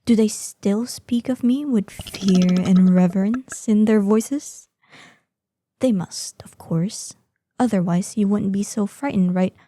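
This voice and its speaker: Woman voicing a slow, dark-toned, imperious she-dragon. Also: mid-range voice, no room sound. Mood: excited, flustered. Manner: slow, imperious, savoring threats. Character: ancient, menacing, proud female dragon guarding hoard, lonely, excitable. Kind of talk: scripted audio roleplay acting.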